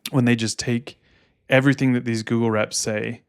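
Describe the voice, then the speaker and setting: A man with a medium-pitched voice co-hosts a podcast. He talks in a casual, explanatory way, walking listeners through practical advice as a specialist would. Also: level tone, normal speed, clear, resonant tone. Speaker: podcast co-host and Google Ads specialist. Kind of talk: casual advisory podcast talk.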